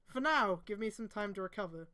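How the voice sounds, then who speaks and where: Clear-voiced veteran kunoichi mentor read by a woman. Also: mid-range voice, lively intonation, brisk, sharp tone. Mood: calm, reassuring. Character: veteran female ninja master, stern but caring mentor. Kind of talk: fanfiction read-aloud dialogue.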